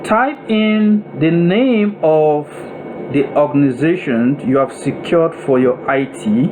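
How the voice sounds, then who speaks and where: A man with a Nigerian accent giving a deliberate, step-by-step software tutorial voice-over, phrase by phrase, as an instructor. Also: on the high side. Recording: noisy room with steady air-conditioning-like hum.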